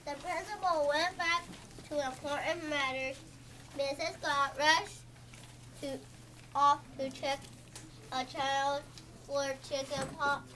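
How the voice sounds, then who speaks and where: A young, high-pitched reader works slowly through a story. The delivery is halting and careful, with small stumbles. The room around the recording is clearly noisy. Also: fairly even intonation, sharp tone. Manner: halting, word-by-word reading with stumbles. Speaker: student reader in readers theatre. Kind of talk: reading a story aloud.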